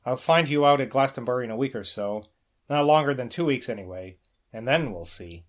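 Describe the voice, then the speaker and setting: A man's voice: mid-range voice, a little fast, lightly acted. Guy reading broke young rogue in a novel, sly, pushy, kinda conspiratorial. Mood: fierce, malicious. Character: roguish, penniless young man, sly romantic sponger.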